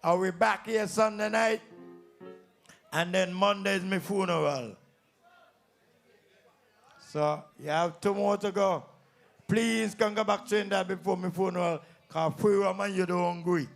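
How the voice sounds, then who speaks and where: Kittitian man, stage emcee, high-pitched, gravelly fry, teasing banter, live PA sound, music behind. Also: steady tone, a little slow, pauses often. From St Kitts. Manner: teasing, talks directly to audience members. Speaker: calypso tent emcee and comedian. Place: live calypso tent stage before an audience.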